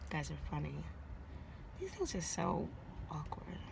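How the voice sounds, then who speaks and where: Slow, gravelly young woman on a phone livestream. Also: lowish voice, a little hiss or hum. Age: young adult. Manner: relaxed, chatty, answers viewer comments, curses. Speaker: livestream host. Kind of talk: casual livestream chat. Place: inside a car. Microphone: phone at arm's length.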